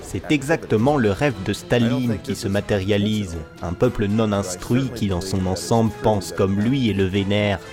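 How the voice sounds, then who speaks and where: A man's voice: on the low side, moderate pace, hardly any pauses. A man narrating a mock documentary in a grave, conspiratorial manner. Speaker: narrator of a satirical investigation video. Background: background music under the voice.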